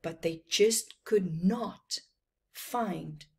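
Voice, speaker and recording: A middle-aged woman reading a children's storybook aloud with expressive, dramatic phrasing and emphasised words. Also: lowish voice, drawn-out words, vocal fry. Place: small plain room indoors.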